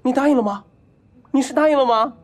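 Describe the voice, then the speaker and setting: A man's voice: extremely high pitch, quick pace. An actor playing a lovestruck, spoiled young rich man in an audio drama, clingy and naive, with an eager, whiny, childishly earnest way of talking. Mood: eager, chatty. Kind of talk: Chinese audio drama acting.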